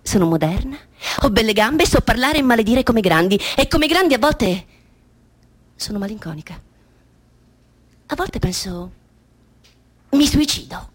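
A woman's voice: a little high, expressive, a little slow, pauses often. An actress playing a precocious, troubled little girl with naive, matter-of-fact bravado in a radio play monologue. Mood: melancholy, brittle.

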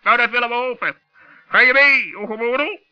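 A man's voice: very high voice, a little fast, bright, forceful tone, voice pushed up, heavily acted. A wise raven, grumbling and grave, made for a children's radio play. He scolds sternly, and the recording is dull and old.

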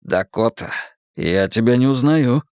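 A man's voice: medium pitch, expressive, measured pace, darkish tone, no room sound, clean studio sound. A man voicing a stern, wounded and unyielding father in a dramatised audiobook, speaking firmly and bluntly in exasperation. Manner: firm, blunt, commanding.

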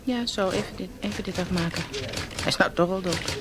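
An actress in a Dutch radio drama playing a brisk, dry-witted female police inspector with a bossy, teasing manner. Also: on the low side, background noise, close to an everyday voice. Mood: dry, busy. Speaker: actor in a radio play.

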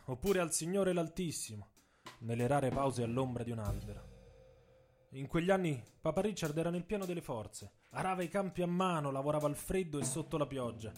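A man narrates a scripted story in a mid-pitched voice. His delivery is intense and literary, that of a dramatic storyteller reading a prepared text. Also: darkish tone.

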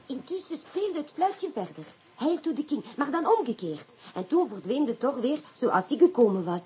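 A woman playing a self-assured, coquettish Frenchwoman sleuth with a French accent and husky voice in a radio play. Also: sits high, expressive, moderate pace, mellow, exaggerated voice. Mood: composed. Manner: coquettish, sprinkles in French phrases. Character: clever Frenchwoman, self-assured amateur sleuth, fond of the inspector. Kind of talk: Dutch radio play acting.

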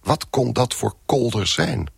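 An actor playing a trapped, bewildered man who tells his own ordeal in a radio drama, in a deliberate, musing, wry manner. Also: mid-range voice, animated, gravelly, husky, no room sound, clean studio sound, heavily acted. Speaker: narrator and lead character. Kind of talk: radio drama first-person narration.

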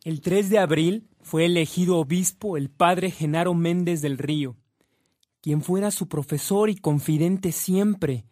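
A man's voice: on the high side, unhurried, a little mellow, no room sound, clean studio sound. A man with a Mexican accent reading scripted narration for a radio drama.

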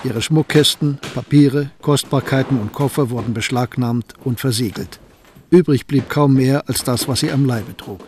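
Calm male radio play narrator. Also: mid-range voice. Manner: calm, measured storytelling.

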